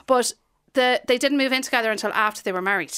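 A woman's voice: on the high side, quick pace, a bit bright, clean tone. A woman with an Irish accent chatting casually in a radio studio, explaining things in a playful, mock-earnest way. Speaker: radio show contributor and director of a skit.

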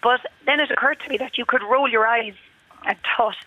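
A woman calling a radio show, croaky from a sore throat, making an articulate, measured argument. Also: a little high, dry recording. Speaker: radio caller. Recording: dry, tinny phone line.

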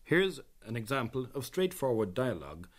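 A man's voice: mid-range voice, sing-song. Man presenting radio history. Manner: measured, explanatory. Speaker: documentary presenter. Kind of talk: scripted radio documentary narration.

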